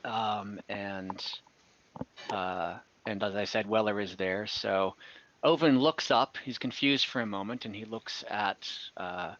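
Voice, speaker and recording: A male game master narrates an online fantasy tabletop game in a bright voice. His storytelling is descriptive and improvised with hesitant searching, and the call audio is slightly dull. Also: fairly low pitch, takes it slowly, slight background noise.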